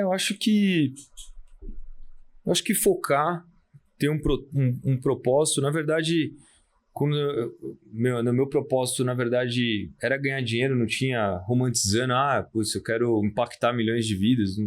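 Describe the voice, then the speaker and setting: Brazilian man, thirties, calm podcast guest; halting delivery, mid-pitched, frequent restarts. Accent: Brazilian Portuguese. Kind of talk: casual interview talk.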